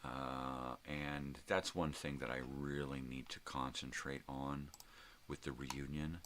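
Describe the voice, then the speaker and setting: An older man with a very deep, gravelly voice chats casually in a rambling, drawn-out way, as a vlog host. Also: level tone, fairly bright tone, slight background noise. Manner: rambling, drawn-out, mild swearing.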